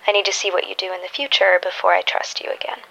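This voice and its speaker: Audio drama: wry, guarded heroine played by a woman, gravelly fry, terse and dry, dull lo-fi sound. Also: medium pitch, level tone, fast talker, sharp tone, exaggerated voice. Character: guarded, wry, wounded female superhero with dangerous gaze. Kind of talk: naturalistic audio drama acting.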